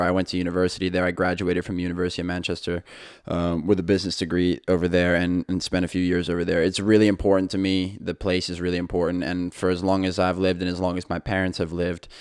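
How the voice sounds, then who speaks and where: Solo podcast host, young male, low monotone delivery, easygoing and rambling. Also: clear, resonant tone, no room sound, clean studio sound. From England. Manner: easygoing, rambling, with many fillers and restarts. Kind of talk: casual solo podcast talk.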